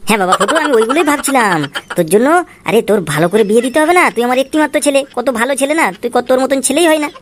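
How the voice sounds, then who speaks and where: A woman's voice: sits high, racing, a little hiss or hum, lightly acted. A woman voicing an exasperated, foul-mouthed, sly father in a comic cartoon, grumbling and wheedling. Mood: coaxing, placating. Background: music.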